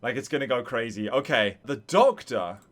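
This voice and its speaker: A man giving casual live-stream reaction commentary, excitable and thinking out loud to his viewers. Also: medium pitch, moderate pace. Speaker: streamer reacting to game voice lines.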